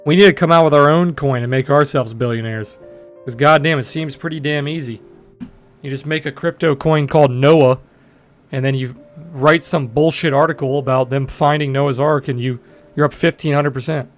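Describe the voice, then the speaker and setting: A young man in his twenties with a deep voice, chatting bluntly and profanely with viewers on a trading livestream. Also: steady tone, moderate pace, faint music behind. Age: 20s. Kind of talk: casual livestream chat answering viewers.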